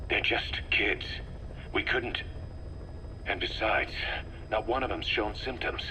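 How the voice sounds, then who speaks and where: A man's voice: fairly low pitch, slow, sharp tone, full character voice. A man playing a tough, pragmatic military commander in a video game, speaking in a terse, firm, businesslike way. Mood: tense, defensive. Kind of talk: dramatic video game voice acting. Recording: faintly noisy field tape.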